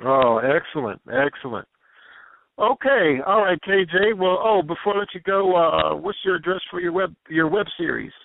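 A man hosting a radio show, interviewing callers in a brisk, affirming way. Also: high-pitched, lively intonation, creaky, narrow, tinny audio.

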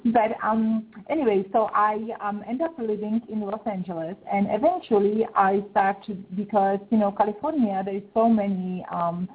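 A woman from the Czech Republic with a Czech accent, a guest pet psychic on a call-in show, speaking careful, halting English. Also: medium pitch, fairly even intonation, slightly rough. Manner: careful, halting English with small grammar slips. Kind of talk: call-in radio chat. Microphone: Skype call. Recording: thin phone-line sound.

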